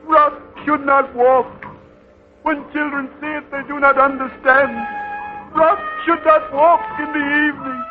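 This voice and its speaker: A man's voice: extremely high pitch, flat delivery, measured pace. A trembling, pleading townsman on old radio. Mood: awed, pleading. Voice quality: pleading, reverent. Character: awed male townsman pleading before false gods. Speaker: radio drama actor. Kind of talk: 1930s radio drama acting. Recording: tinny 1930s radio broadcast with music.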